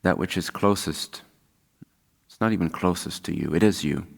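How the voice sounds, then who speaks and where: A man leading a guided meditation as a spiritual teacher, speaking calmly and unhurriedly with long pauses. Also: low voice, gravelly. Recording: long hall-like reverb.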